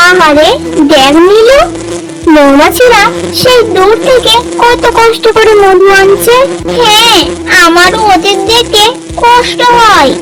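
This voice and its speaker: A woman's voice: extremely high pitch, full character voice, poor audio. A woman voicing a small, childlike, earnest bird in a children's cartoon. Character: small anxious and caring cartoon songbirds, young and childlike. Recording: faint music behind the voice.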